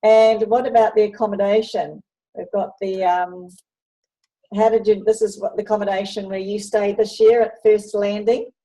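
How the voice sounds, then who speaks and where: A woman's voice: mid-range voice, flat delivery, moderate pace, dark tone, rough recording. A woman from New Zealand with a New Zealand accent, hosting an interview while showing slides and chatting with frequent ums. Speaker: host presenting a netball travel program.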